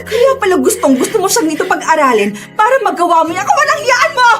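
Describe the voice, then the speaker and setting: High-voiced protective older sister played by a woman. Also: expressive, a little fast, music in the background, close to an everyday voice. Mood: enraged, sobbing. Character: protective older sister, married working woman, fiercely caring. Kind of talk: radio drama acting.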